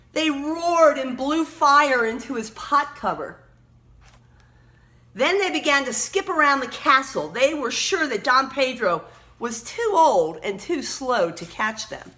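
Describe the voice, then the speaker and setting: A woman storyteller reads to children in a high, bright voice with very lively swings of pitch. She is emphatic and expressive, stepping out of the tale to point out words and pictures in a teacherly way. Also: slow pace. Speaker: read-aloud storyteller.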